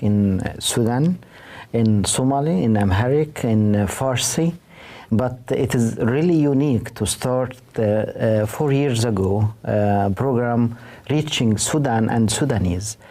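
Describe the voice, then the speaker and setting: A man with an Egyptian accent, answering interview questions in an earnest, halting way. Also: medium pitch, dark tone. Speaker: director of a TV station's Arabic department.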